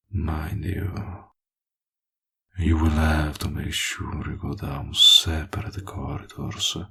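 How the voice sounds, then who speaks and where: A man playing a smug, possessive villain in an audio roleplay, flirtatious and menacing, with a husky, breathy voice and a slow, teasing delivery that dips toward whispers. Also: very deep voice, pushed and bright, exaggerated voice. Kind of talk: roleplay audio acting, intimate monologue.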